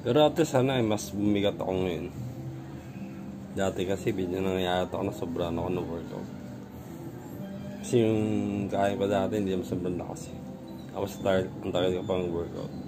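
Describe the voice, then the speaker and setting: A man's voice: sits low, steady tone, normal speed, long pauses, over music. A man vlogging casually at home about his workout gear, rambling and repeating himself as he talks into a phone camera. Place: home room with gym gear.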